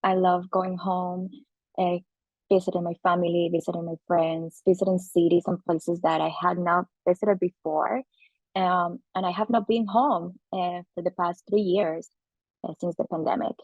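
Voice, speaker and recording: Gravelly Colombian woman, casual podcast guest. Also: fairly low pitch, little pitch movement, moderate pace, a little mellow, dry recording, muffled recording. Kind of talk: casual conversation.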